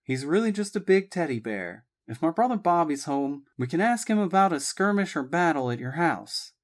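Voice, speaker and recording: A woman's voice: low voice, sing-song, normal speed, voice pushed up. A man voicing a spirited young woman. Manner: emphatic, exclaiming. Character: spirited young Southern woman, outspoken and teasing.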